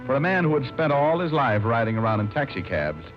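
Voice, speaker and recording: A man's voice: mid-range voice, very animated, fairly quick, natural voice. A man acting a dry, tough, wisecracking city detective in old-time radio style. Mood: dry narration. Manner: dry, sardonic. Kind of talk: 1950s radio acting, first-person narration and dialogue. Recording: muffled vintage radio recording, music behind.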